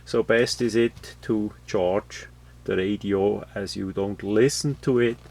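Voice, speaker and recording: A man giving a casual, unscripted product walkthrough, explaining things haltingly with many uhs and repeated words. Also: on the low side, steady tone, creaky, darkish tone. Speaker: host of product unboxing videos.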